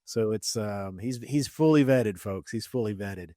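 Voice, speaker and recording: A man's voice: lowish voice, a little slow, mellow, no echo, studio quality. A man hosting a podcast, opening with upbeat welcoming patter before moving into the interview. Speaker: podcast host.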